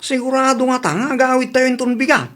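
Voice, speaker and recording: A man's voice: very high voice, steady tone, fast talker, a bit bright. A man with an Ilocano accent playing a grumbling husband in a comedy audio drama. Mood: exasperated, complaining.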